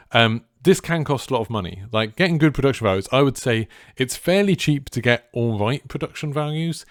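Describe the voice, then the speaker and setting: A man with a deep, clear voice, giving casual advice to viewers as a streamer in a chilled, laid-back way. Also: dry recording, studio quality.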